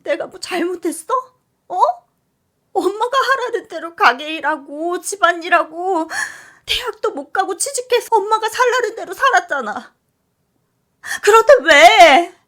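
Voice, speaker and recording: A woman voicing a wronged young daughter who wails and shouts at her mother while crying, her voice high and strained. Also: expressive, a little slow, exaggerated voice. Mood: anguished. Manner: wailing, shouting. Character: wronged grown daughter screaming in tears.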